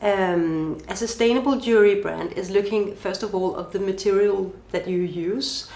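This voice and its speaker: A woman's voice: mid-range voice, normal speed, a little mellow. Woman, Danish accent, thoughtful, lists questions, interview guest, echoey room. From Denmark. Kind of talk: podcast interview answers. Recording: roomy echo.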